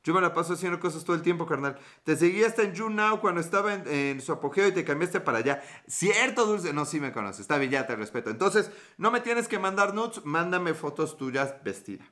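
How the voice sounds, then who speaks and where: A man's voice: a little high, animated, brisk, few pauses, pushed and bright. A man in his thirties with a Mexican accent, chatting casually and joking with viewers as a podcast host. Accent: Mexican Spanish. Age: 30s. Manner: joking, profane, playful. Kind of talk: casual live-stream chat reading comments.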